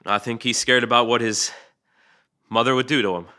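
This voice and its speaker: Weary sardonic male cop, man acting; crisp, tense medium-pitched delivery, persuasive and sweary, radio drama. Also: steady tone, brisk, pushed and bright, lightly acted. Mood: grave, earnest. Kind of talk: dramatic table read acting.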